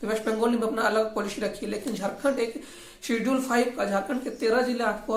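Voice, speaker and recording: Female political commentator, voice on the high side, argumentative and critical, informal delivery, strong room echo. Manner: critical, argumentative, repeats points. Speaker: host of a regional affairs channel. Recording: echoey, roomy voice recording.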